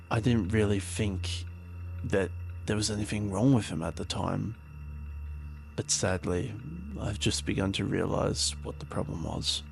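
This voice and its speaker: Guy acting as a paranoid monster hunter guy, low croaky voice, rambling taped diary for an audio drama, uneasy. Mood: uneasy, defensive. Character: haunted, paranoid male conspiracy theorist documenting monsters.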